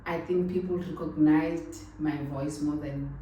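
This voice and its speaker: A woman in her thirties with a Xhosa South African accent talks about her life in a deep, big voice, pausing to think and laughing easily. She sits some way from the mic, and the room rings with strong echo under a faint hiss. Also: steady tone, dark tone, lo-fi sound. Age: 30s. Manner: reflective, laughs often, mixes languages. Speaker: channel host. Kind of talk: sit-down personal conversation. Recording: echoey room, mic at a distance.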